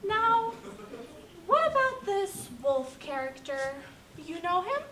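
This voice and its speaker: A probing lady prosecutor from a comic stage play, acted by a woman. The voice is very high and takes its time. It is distant, echoey and buried in loud noise. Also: very animated, slow, heavily acted. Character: brisk female assistant prosecutor questioning witnesses. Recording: echoey, noisy, distant microphone.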